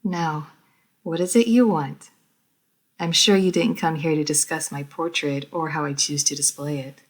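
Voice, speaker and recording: A woman voicing a refined, proud lady vampire who is easily flustered, speaking formally and with measured care in an audio roleplay. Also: low voice, fairly even intonation. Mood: flustered, defensive. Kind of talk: scripted audio roleplay addressed to the listener.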